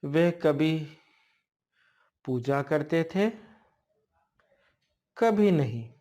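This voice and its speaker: Male horror narrator, slightly higher-pitched, very slow storytelling with halting phrasing. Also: animated, mellow. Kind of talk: slow spoken ghost storytelling.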